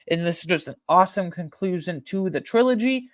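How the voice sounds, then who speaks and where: A man hosting a film ranking video, talking informally and emphatically with slang and a halting, opinionated delivery. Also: high voice, lively intonation, no echo, studio quality. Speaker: video host ranking films.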